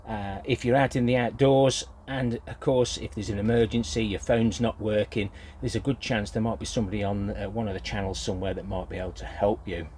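A man's voice: lowish voice, fairly even intonation, brisk, hardly any pauses, darkish tone, a little hiss or hum. An older British man giving a casual, unscripted product review in a hesitant, rambling way. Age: older, grandfather. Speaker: gardening vlogger reviewing a product.

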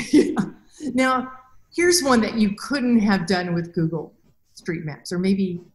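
A middle-aged woman hosting a podcast interview on a video call, talking casually about robotics and acting out quotes lively. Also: slow. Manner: animated, acts out quotes with big pitch jumps. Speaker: podcast host and robotics researcher. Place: small room with a plain wall. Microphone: headset mic on a video call. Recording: echoey room.

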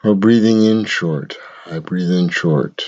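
Man, meditation guide, very low pitch, short measured phrases, long pauses, dull lo-fi sound. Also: a little mellow.

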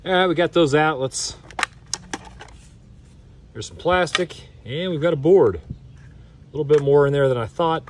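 A man giving casual, plain-spoken hands-on commentary, unhurried and halting as he works through a teardown. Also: on the high side. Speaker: video host, hobby scrapper. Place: outdoors on pavement. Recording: distant mic, outdoor background noise.

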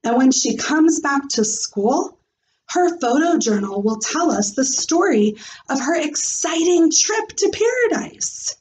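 A woman's voice: sits high, sing-song, a little mellow, slight background noise, voice pushed up, heavily acted, low-quality recording. A woman voicing a kindly male schoolteacher in a storybook, patient, upbeat and explanatory. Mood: explaining. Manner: explanatory, measured. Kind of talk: expressive storybook read-aloud.

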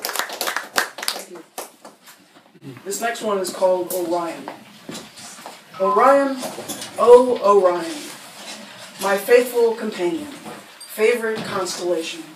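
A middle-aged woman performs her spoken word poems in a rhythmic, rhyming cadence. Her voice sits at a middle pitch. It is picked up from across a roomy bookstore, with a faint hum behind it. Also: slow pace, pauses often. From United States. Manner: rhythmic, rhyming delivery with small laughs between poems. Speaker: poet. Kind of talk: spoken word poetry reading. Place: bookstore reading room. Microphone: standing mic, filmed from a distance. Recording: roomy sound from a distant camera.

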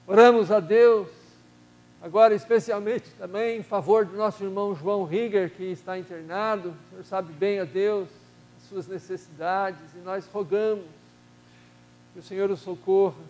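An older man leading a solemn, measured prayer in a church sanctuary as minister, his voice softening at the ends of phrases. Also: sits high, steady tone, poor audio. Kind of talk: slow formal congregational prayer.

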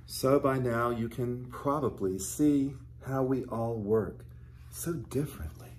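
A man in his fifties, a pastor reading a picture book aloud to young children and explaining it gently and simply. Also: mid-range voice, takes it slowly, a little hiss or hum. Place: small furnished living room, indoors.

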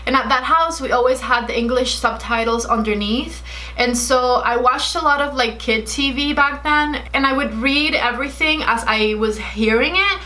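Bright-voiced Cuban woman chatting through makeup. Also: high-pitched, normal speed. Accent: Cuban Spanish. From Cuba. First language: Spanish. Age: 20s. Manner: friendly, talkative, explains at length while applying makeup. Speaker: beauty vlogger. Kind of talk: chatty get-ready-with-me Q&A. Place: bright indoor room with shelves. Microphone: camera in front at desk distance.

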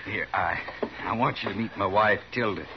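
Male actor, American western gambler, lowish voice, folksy, proud, muffled old radio drama. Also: moderate pace, close to an everyday voice. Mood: genial. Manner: folksy, plain-spoken. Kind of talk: 1950s radio western acting. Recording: muffled vintage radio with faint hiss.

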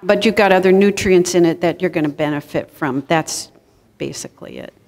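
A woman's voice: on the low side, a little fast, darkish tone. A middle-aged woman giving an informal live cooking demonstration in a conversational, explanatory way. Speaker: cooking class presenter. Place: church kitchen hall, indoors, before an audience. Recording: echoey hall, live event recording.

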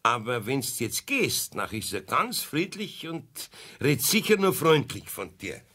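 Older Bavarian male carpenter for children's radio play: husky, animated, gentle, slightly flustered. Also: mid-range voice, full character voice. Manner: homely, halting, chuckling. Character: kindly, patient old master carpenter, flustered but gentle.

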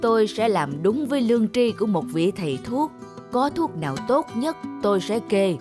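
A man playing a weary older village physician in an animated folk tale, measured, sober, kindly and principled. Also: sits high, over music. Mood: sober, caring.

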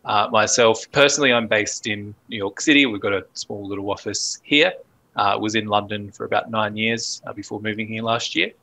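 Bright-voiced Australian man explaining. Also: fairly low pitch, brisk, gravelly, poor audio. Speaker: podcast guest, fertilizer analyst. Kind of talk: casual interview talk. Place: home office on video call.

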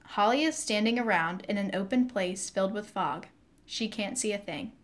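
A woman's voice: mid-range voice, normal speed. A woman giving scripted narration, reading out scene headings and stage directions.

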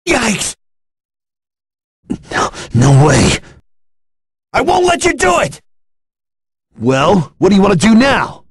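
An actor playing an earnest young martial-arts hero in an anime fighting game, with an intense, emphatic delivery. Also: male voice, sits high, very animated, takes it slowly, long pauses, slightly rough, dry recording, clean studio sound, exaggerated voice. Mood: startled.

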